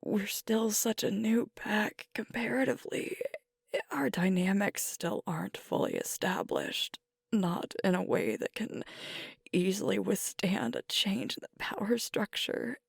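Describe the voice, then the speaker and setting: A woman playing a sweet, vulnerable alpha werewolf girlfriend in an intimate ASMR roleplay, speaking softly and hesitantly as she grieves. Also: mid-range voice, sing-song, no room sound, clean studio sound, exaggerated voice. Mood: hurting, self-reproachful. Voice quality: soft, breathy, often whispered. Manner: slow, hesitant, confiding, with pauses.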